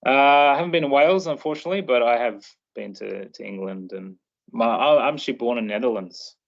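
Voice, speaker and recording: Male podcast host, relaxed and rambling, gravelly voice on a dull recording. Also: mid-range voice, fairly quick. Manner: laid-back, loose and rambling. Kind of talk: casual chat.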